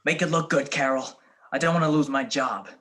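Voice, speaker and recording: A man acting a gruff, anxious, crooked prison guard in a radio play, with edgy, slangy talk. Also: on the high side, fairly quick, fairly bright tone. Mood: nervous, hushed.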